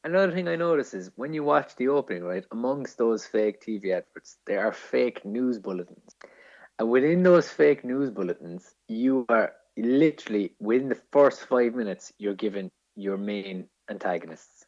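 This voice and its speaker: A man's voice: medium pitch, animated, normal speed, a little mellow. An Irish man co-hosting a casual film podcast, talking like an enthusiastic, sweary film geek explaining things. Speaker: podcast co-host. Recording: dull lo-fi podcast audio.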